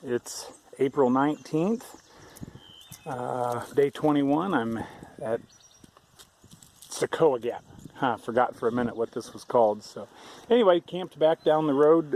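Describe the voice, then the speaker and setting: A man's voice: mid-range voice, darkish tone, a little hiss or hum. A man talking casually to his camera outdoors on a hiking trail, rambling and restarting his sentences. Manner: rambling, conversational, many restarts. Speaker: thru-hiker vlogger.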